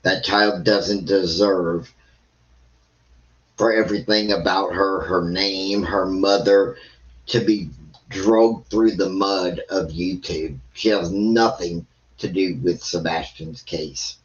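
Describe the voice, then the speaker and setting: A man's voice: deep voice, steady tone, slow, dull audio. A man giving blunt, scornful livestream commentary, swearing freely as he reacts. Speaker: live stream commentator.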